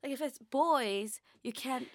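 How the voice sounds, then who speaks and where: A young woman born in New York and raised in Japan, co-hosting a podcast in casual chat, talking haltingly with pauses and fillers. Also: sits high. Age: young adult. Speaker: podcast co-host, radio station worker.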